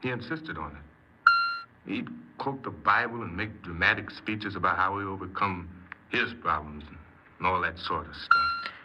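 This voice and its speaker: Deep-voiced, halting, troubled confessing criminal. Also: male voice, huge pitch swings, heavily acted. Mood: wistful pride. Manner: hesitant, halting, earnest. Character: troubled, defensive confessed male criminal, a self-important hypnoanalyst. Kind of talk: 1950s radio crime drama acting. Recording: very noisy, muffled 1950s radio recording.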